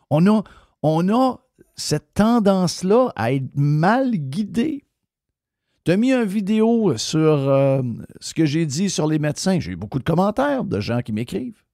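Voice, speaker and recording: A man's voice: high-pitched, dark tone, dry recording, clean studio sound. A man with a Québécois French accent hosting a radio talk show, ranting bluntly and swearing freely. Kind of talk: opinionated radio rant.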